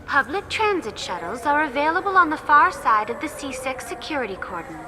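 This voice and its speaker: A courteous artificial guide in a game, high and melodic. She recites information formally and precisely over clear background noise. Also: big pitch swings, a little fast. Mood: informative. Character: polite female virtual guide, helpful impersonal public information program. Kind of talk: scripted public announcement.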